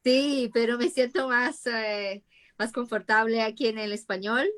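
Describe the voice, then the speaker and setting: A woman's voice: on the high side, unhurried. Brazilian woman talking spanish on a panel, chill, lots of ehs and little laughs. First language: Portuguese. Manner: relaxed, laughs easily, many fillers. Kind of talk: casual panel talk.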